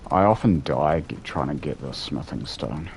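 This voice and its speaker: Man, New Zealand accent, low voice, relaxed rambling gameplay chat, faint background noise. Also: normal speed, gravelly. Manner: relaxed, rambling, answers chat between play. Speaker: streamer. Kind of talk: casual gameplay chat with live viewers.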